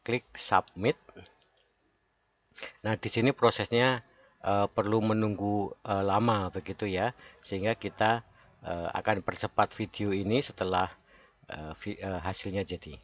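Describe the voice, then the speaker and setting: A man giving a step-by-step software tutorial as its presenter, recorded as a voice-over. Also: lowish voice. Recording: dull lo-fi voice-over.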